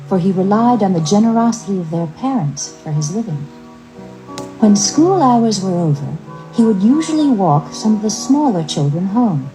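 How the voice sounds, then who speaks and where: A woman reading a scripted story as narrator, with measured, expressive dramatic delivery. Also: lowish voice, poor audio.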